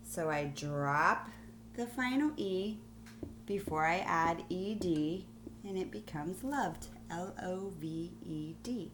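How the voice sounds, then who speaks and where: A woman schoolteacher giving a phonics lesson to young pupils on video, explaining deliberately and spelling things out. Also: on the low side, expressive, background noise. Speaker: primary school teacher.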